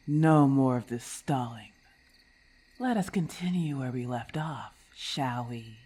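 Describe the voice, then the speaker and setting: Woman acting as a predatory snake-woman, very deep female voice, husky and breathy, haughty, condescending, ASMR roleplay. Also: warm tone, exaggerated voice. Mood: haughty, menacing. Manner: slow, formal, condescending diction. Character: menacing, haughty female lamia hunting human prey.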